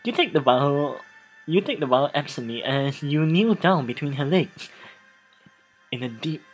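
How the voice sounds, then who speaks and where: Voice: mid-range voice, animated, unhurried, poor audio. A let's play host giving a dramatic reading of game text with asides, often repeating and restarting phrases. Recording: music behind the voice. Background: music.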